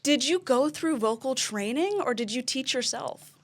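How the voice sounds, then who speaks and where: A woman's voice: high-pitched, expressive, normal speed. A young woman hosting a casual interview, enthusiastic and gushing, laughing often. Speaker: show host.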